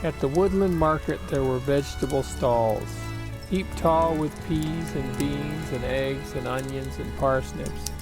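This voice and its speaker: A man narrating a children's picture book aloud in a deliberate, expressive storytelling manner. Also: on the high side, over music.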